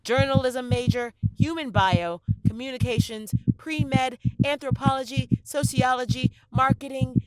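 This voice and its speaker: A young woman playing a stressed, self-questioning theater student in a dramatic monologue, with theatrical, deliberate delivery. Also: high voice, dry recording, low-quality recording. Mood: driven, insistent. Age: young adult. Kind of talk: dramatic monologue in an audio play.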